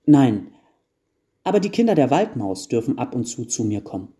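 A man voicing excitable little gnome children who beg and pester in a storybook tale. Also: mid-range voice, normal speed, close to an everyday voice. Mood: eager, demanding. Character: pleading, excitable gnome children begging for toys.

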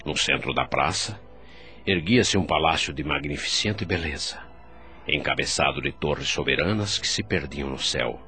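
A very deep-voiced, awed newcomer. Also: male voice, normal speed, sharp tone, heavily acted. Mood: reflective wonder. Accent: Brazilian Portuguese. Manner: formal, wondering. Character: male doctor newly dead, curious, awed, narrating memories. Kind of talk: Brazilian radio drama acting and narration. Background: faint music.

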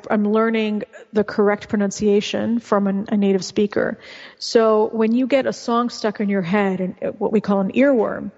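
A woman's voice: medium pitch, darkish tone. A woman guest and author whose first language is Russian, explaining things in a chatty, casual interview manner with frequent restarts. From California. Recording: muffled, with long reverb.

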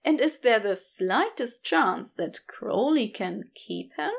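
A woman narrating a scripted story in an expressive, measured storytelling manner. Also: on the high side, creaky, slightly rough. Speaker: podfic narrator.

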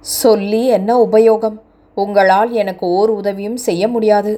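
A woman's voice: mid-range voice, little pitch movement, measured pace, warm tone, lightly acted. A woman voicing a distraught, remorseful young woman who speaks in a sorrowful, pleading way.

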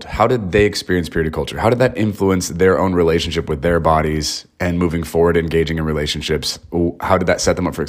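A man in his thirties speaks as a podcast host in a low register. His delivery is casual and conversational. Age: 30s.

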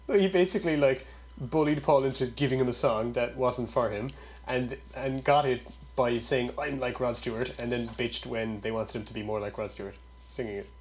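A man's voice: medium pitch, steady tone, moderate pace, a little hiss or hum, rough recording. A man in casual chat who laughs often, reads a quote aloud, and makes blunt remarks.

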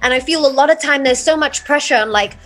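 A woman's voice: high voice, quick pace, fairly bright tone, faint music behind. A woman from England and the United States, talking earnestly and reflectively as a guest in a conversational interview. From born in England, raised there and in the United States. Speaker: podcast guest, mindset coach and yoga teacher.

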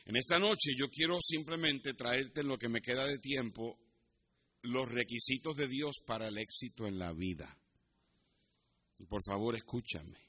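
A male pastor with a Puerto Rican accent delivers a sermon in a bright, forceful voice. His pitch sits in the middle range for a man. He explains things like a teacher and sometimes slips into English. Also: a little slow, husky. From Puerto Rico. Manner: didactic, switches into English asides. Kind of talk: sermon with Bible teaching and personal anecdotes.

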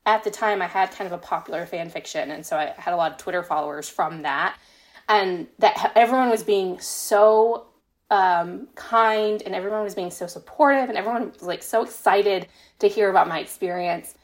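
A woman's voice: mid-range voice, moderate pace. A young American woman telling personal stories in casual conversation as a podcast guest, with a modest, self-deprecating manner. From United States. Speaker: podcast guest, fan fiction writer. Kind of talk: casual chat, personal anecdotes.

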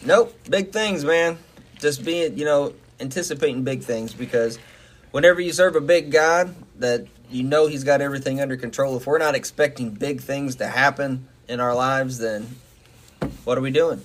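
A man's voice: mid-range voice, moderate pace. A man with a mid-range voice, co-hosting a faith podcast. He talks in an earnest, plain-spoken way and reads Bible passages aloud. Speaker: podcast co-host. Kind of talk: casual faith talk and scripture reading.

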